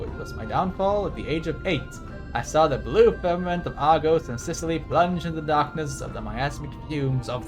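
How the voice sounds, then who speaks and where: A man streaming a game, reading text aloud and adding wry, joking asides in a casual commentary style. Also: mid-range voice.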